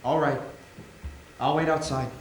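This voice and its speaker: A man playing a gentle, considerate father in a staged theatre reading. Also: fairly high pitch, steady tone, a little slow, close to an everyday voice. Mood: gentle, patient. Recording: echoey theatre, faint noise.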